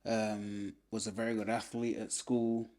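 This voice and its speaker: A man, a podcast guest telling his life story in a relaxed way, filled with "you know". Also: fairly low pitch, measured pace. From England. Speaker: podcast guest, former boxer. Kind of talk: casual life-story interview.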